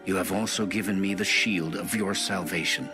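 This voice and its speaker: A man reading scripture as a narrator, in a solemn and measured manner. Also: low voice, steady tone. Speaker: Bible narrator. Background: music.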